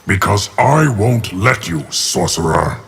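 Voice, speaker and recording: An actor voicing a stern, steadfast guardian in a video game, solemn and measured. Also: male voice, on the low side, animated, a bit bright, husky, in a large hall, exaggerated voice. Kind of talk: video game character dialogue.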